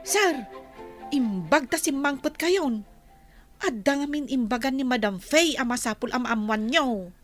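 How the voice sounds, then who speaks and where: A woman's voice: high-pitched, lively intonation, unhurried, faint music behind. A woman playing a naive, tactless young household helper in a comic radio drama, with a Filipino accent. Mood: reporting, naive.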